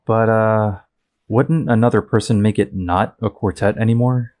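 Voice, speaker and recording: A man plays a downcast young male hero in an audio drama. He talks hesitantly and awkwardly, in a fairly low voice. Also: fairly even intonation, moderate pace, darkish tone, natural voice. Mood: puzzled.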